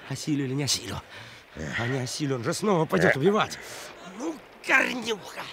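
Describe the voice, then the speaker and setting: A man's voice: on the high side, very animated, brisk, a crowd in the background. An actor playing a desperate, determined man in a radio-drama street fight, strained and taunting.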